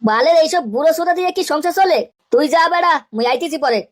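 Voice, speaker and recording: A man voicing a lazy, irritable grown son in a comic cartoon, grumbling and swearing in a pitched-up voice. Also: fast talker. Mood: irritated.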